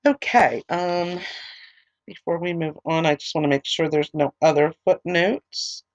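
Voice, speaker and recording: A woman teaching a Bible study, reading scripture aloud and then explaining it with many ums and restarts, her voice a little hoarse. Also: low voice, measured pace, no room sound, highs cut off. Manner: reads verses steadily, then talks loosely with many ums and restarts. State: losing her voice, a bit hoarse. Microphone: online recorder, computer setup.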